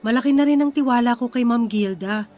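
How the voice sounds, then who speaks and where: A woman's voice: on the high side, brisk, a little mellow, soft background music, close to an everyday voice. A woman playing a suspicious, worried wife confiding in a younger sister in a radio drama, hesitant and defensive. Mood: uneasy, doubtful.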